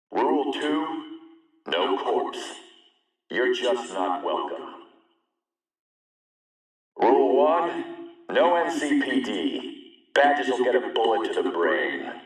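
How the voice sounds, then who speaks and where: Tough warlord boss from a game, slow commanding threats, booming echoey PA from far off. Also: male voice. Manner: commanding, deliberate, threatening, speechifying. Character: hard, menacing warlord ruling a lawless city district. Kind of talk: scripted game voice acting, public announcements. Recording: echoing public-address announcement, distant, reverberant.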